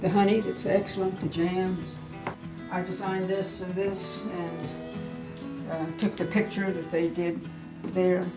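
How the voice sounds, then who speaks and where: Over clearly audible guitar music, an elderly woman speaks in a slightly low voice. She is plainspoken and halting, showing visitors around informally as the keeper of a small museum and shop. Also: flat delivery, poor audio. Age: 80s. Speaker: museum and gift shop keeper giving a tour. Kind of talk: informal guided tour.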